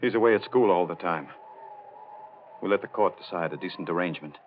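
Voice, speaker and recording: An actor playing a bitter, obsessive sculptor and menacing husband, intense and deliberate, in 1940s radio melodrama style. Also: male voice, low voice, lightly acted. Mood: grimly determined. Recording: dull, faintly noisy old radio recording.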